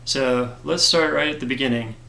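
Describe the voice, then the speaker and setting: A man who created a comic gives an earnest, grateful update to his backers, hoarse from a cold and filling his talk with "uh". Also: mid-range voice, moderate pace, pushed and bright, echoey room. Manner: earnest and grateful, many fillers. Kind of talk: casual direct-to-camera talk.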